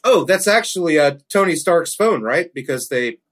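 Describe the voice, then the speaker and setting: A man's voice: a little high, animated, normal speed, fairly bright tone. A man joking wryly and casually as a co-host on a comedy podcast.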